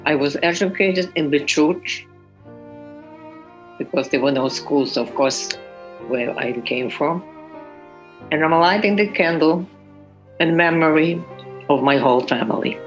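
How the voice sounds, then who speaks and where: This is an older woman from Poland with a deep, low voice, speaking slowly and deliberately. Faint violin music plays softly behind her. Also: rough recording. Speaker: survivor lighting a memorial candle.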